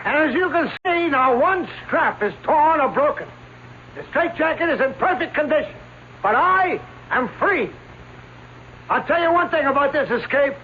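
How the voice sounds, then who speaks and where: A man's voice: very high-pitched, lively intonation, moderate pace. An actor playing an elderly male stage escape artist who talks in grand, theatrical showman patter, in vintage radio drama acting. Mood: triumphant. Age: older. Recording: tinny old radio recording with hiss.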